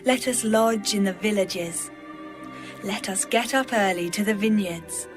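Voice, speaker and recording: A woman reading as a devoted, loving young bride in a measured, poetic dramatized audio Bible style. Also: mid-range voice, over music, lightly acted. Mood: loving, inviting.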